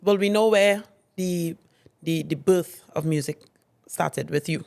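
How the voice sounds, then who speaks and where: A man's voice: a little high, measured pace, gravelly, darkish tone. A man hosting a casual interview, prompting his guest and nodding along with frequent short affirmations. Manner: encouraging, lots of affirming backchannels. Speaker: interview host.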